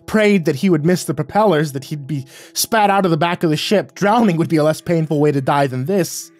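A man narrating a first-person horror story in a tense, halting way with stammered restarts. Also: fairly high pitch, a little mellow, no room sound. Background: faint music.

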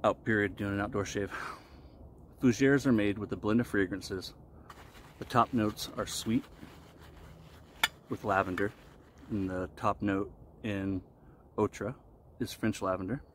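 A man in his forties giving a casual explanatory talk to camera as a vlogger, with deliberate, halting phrasing, recorded outdoors. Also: lowish voice, fairly even intonation, fairly bright tone. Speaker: vlogger reviewing shaving products. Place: outdoors in a wooded park clearing. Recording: noisy outdoor ambience. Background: outdoor ambient noise.

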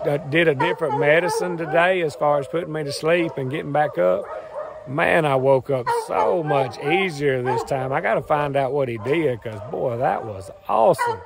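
A hoarse man in his thirties with a Southern US accent, rambling cheerfully in a folksy way to a phone camera while standing outdoors in the woods. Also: a little high. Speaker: farm and hunting channel host. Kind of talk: casual vlog talk to camera. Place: outdoors in woods beside a truck. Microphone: phone camera at arm's length.

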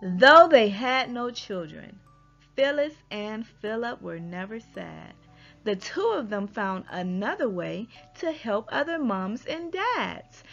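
Slow, lilting high-voiced woman storyteller. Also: creaky. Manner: expressive, deliberate storytelling delivery. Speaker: children's storybook narrator. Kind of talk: read-aloud rhyming children's story narration. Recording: dull lo-fi audio with music behind.

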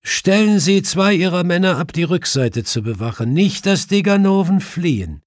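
A man's voice: high-pitched, lively intonation, moderate pace, no room sound, clean studio sound, voice pushed up. A man voicing a self-assured, wry detective who gives confident commands in an audiobook. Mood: commanding.

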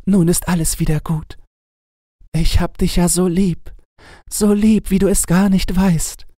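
A man voicing a tender, affectionate young wife in a fairy tale, with a soft, pleading manner. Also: a little high, no echo, studio quality, pitched above the performer's own voice, close to an everyday voice.

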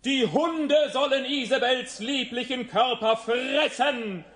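A man's voice: very high voice, slow pace, fairly bright tone, full character voice. A man playing a fanatical, solemn biblical prophet, declaiming wrathfully in a radio play. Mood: wrathful, proclaiming. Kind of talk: theatrical radio drama declamation.

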